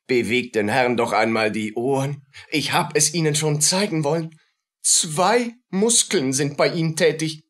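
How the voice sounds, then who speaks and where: An actor playing a callous, pompous doctor who declaims pedantically to students in a German radio play. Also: male voice, on the high side, fairly bright tone, heavily acted.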